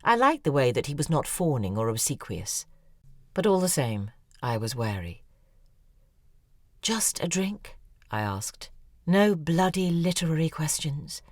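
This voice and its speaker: A woman's voice: low voice, sing-song, measured pace, no echo, clean studio sound, full character voice. An actress narrating in first person as an acerbic, weary female novelist in an audio drama. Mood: wry. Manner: sardonic, weary. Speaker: narrator and lead character, a novelist.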